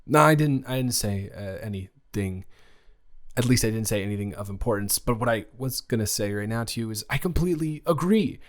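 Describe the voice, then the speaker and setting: A man's voice: lowish voice, expressive, a little fast, natural voice. A young man acting a sweet, flustered college dormmate in a romantic roleplay audio, rambling and stammering in a casual, nervously earnest way. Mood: flustered, tender. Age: young adult.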